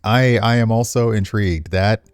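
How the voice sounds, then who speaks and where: A man's voice: fairly low pitch, normal speed, clear, resonant tone, dry recording, clean studio sound, soft background music. A man reading visual novel text aloud expressively as a game streamer, slipping into casual commentary.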